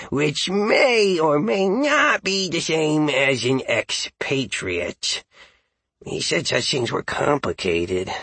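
A man performs a playful, chatty dog telling his own story in an audiobook. The voice is bright and forceful, very animated and rough-edged. It is recorded close and dry, with an exuberant, goofy delivery. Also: mid-range voice, no echo, full character voice. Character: excitable, playful male dog narrating his adventures. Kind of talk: first-person audiobook narration.

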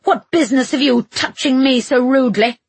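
A woman's voice: medium pitch, slow pace, fairly bright tone, breathy edge, no echo. A woman voicing a pompous, violent nobleman in an audiobook, haughty and commanding with archaic insults. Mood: pompous, angry.

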